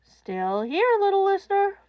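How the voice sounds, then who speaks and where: A woman voicing a warm, sincere male radio host in an audiobook, gently reassuring a caller. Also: very high-pitched, big pitch swings, a little slow, dry recording, highs cut off, pitched above the performer's own voice, full character voice. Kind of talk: audiobook character acting.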